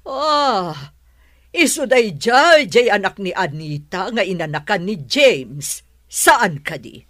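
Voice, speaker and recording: A woman's voice: medium pitch, animated, slow pace, a bit bright, dry recording, clean studio sound. An actress playing a disapproving, nagging mother of a grown son in a radio drama. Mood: scolding.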